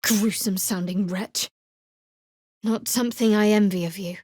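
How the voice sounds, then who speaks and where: A woman's voice: medium pitch, steady tone, unhurried, darkish tone, husky, no room sound. An actress voicing a guarded, sardonic young female cleric adventurer in a fantasy video game, with a dry, secretive manner. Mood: wry, guarded.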